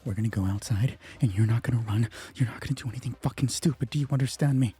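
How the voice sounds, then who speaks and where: A man's voice: mid-range voice, fast, warm tone, husky, soft background music. A man playing a female covert operative in a tabletop game, terse, profane and menacing, giving clipped commands. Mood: cold threat.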